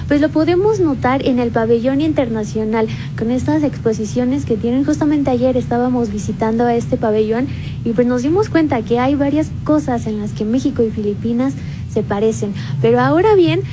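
A noisy setting sits behind a woman with a high voice and a Mexican accent. She is a co-host putting formal broadcast questions to a guest. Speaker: interviewer, co-host. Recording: clearly noisy ambience behind the voice.

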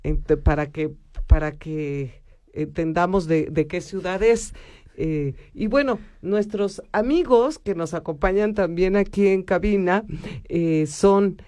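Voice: medium pitch, takes it slowly, darkish tone. A radio host with a Mexican accent interviewing guests in the studio, chatty and full of fillers.

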